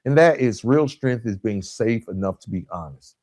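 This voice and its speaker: A man's voice: on the low side, animated, moderate pace, a little mellow, no echo. Motivational male podcast host, dry close sound. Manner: emphatic repetition, checks in with "right?" and "guys". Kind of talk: motivational coaching monologue.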